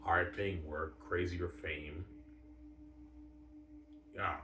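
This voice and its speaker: A man's voice: sits low, fairly even intonation, extremely slow, pushed and bright. A man rambling casually, repeating himself in a boastful way, with emphatic bursts that trail off. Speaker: vlogger. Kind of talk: rambling casual hockey talk. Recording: roomy, distant mic, faint noise.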